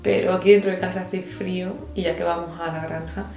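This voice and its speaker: A woman's voice: fairly low pitch, level tone, brisk, over music. A woman in her thirties with an accent from Spain, chatting casually and explaining things to camera as a vlogger.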